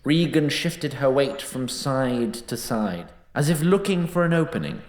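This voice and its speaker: Theatrical male narrator with very animated delivery. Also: mid-range voice, measured pace, echoey room. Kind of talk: dramatic scripted storytelling narration.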